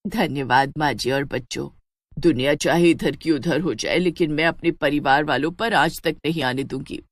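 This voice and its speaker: A woman's voice: deep voice, moderate pace, dry recording, pitched below the performer's own voice. Cartoon witch mother, devoted and resolute, earnest delivery, close dry recording female performer acting it out. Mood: caring. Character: devoted witch daughter-in-law, brave young mother in disguise.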